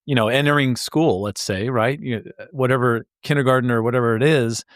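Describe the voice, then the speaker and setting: A man's voice: mid-range voice, a little fast, no echo, studio quality. A man hosting an interview podcast, upbeat and enthusiastic in a casual chat, often restarting his words. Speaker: podcast host.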